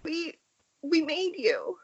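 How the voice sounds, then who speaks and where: Woman acting an indignant actress, very high voice. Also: wildly swooping pitch, takes it slowly, heavily acted. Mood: anguished, pleading. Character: angry, betrayed actresses, a jealous woman and a waitress. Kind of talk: amateur table read of a screenplay. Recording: muffled internet call audio.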